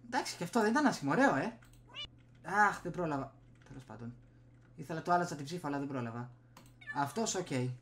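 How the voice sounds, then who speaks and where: A man's voice: fairly high pitch, lively intonation, long pauses, fairly bright tone, slight background noise. A young man giving casual gameplay commentary, muttering and reacting in short bursts. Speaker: gaming streamer. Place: small room indoors at home. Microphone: desk USB microphone.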